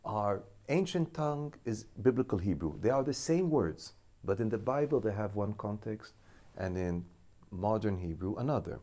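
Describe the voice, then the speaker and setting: A man in his fifties who grew up in Israel, a school director speaking as an interview guest, thinking aloud with halting restarts. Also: fairly low pitch, lively intonation, mellow. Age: 50s. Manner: thoughtful, halting, restarts while reasoning. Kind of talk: studio interview.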